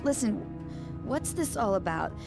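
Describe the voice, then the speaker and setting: A chatty new-age mystic. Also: female voice, on the high side, sing-song, unhurried, gravelly, music in the background, exaggerated voice. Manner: chatty, breezy, airy, eccentric. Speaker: motel resident, self-declared psychic. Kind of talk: game cutscene acting.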